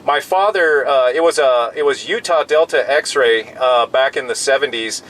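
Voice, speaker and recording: A man's voice: mid-range voice, normal speed, fairly bright tone. American man in his fifties, noisy truck. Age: 50s. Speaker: amateur CB radio operator. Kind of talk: casual two-way radio chat. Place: in a pickup truck. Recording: in-vehicle recording with clear background noise.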